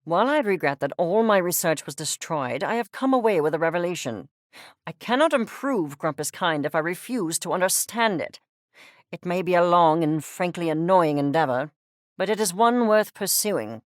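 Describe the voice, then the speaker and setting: A woman plays an obsessive little creature of science for a video game. The delivery is pompous and clipped, with exact academic diction and lively swings in pitch. The temper is coldly curious and scornful, heard in a dry, close studio sound. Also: normal speed, dry recording, natural voice. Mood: reflective. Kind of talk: video game character voice lines.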